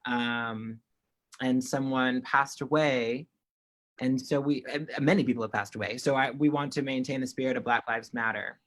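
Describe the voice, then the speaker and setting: Man, interpreter voicing signers, bright, forceful delivery, restarts phrases, online call mic. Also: medium pitch, steady tone, normal speed. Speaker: sign language interpreter. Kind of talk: voicing signed panel remarks in first person.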